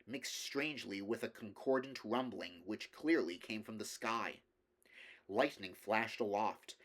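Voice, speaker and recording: A man reading literary horror fiction aloud, with measured, deliberate dramatic narration. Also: lowish voice. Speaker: audiobook reader.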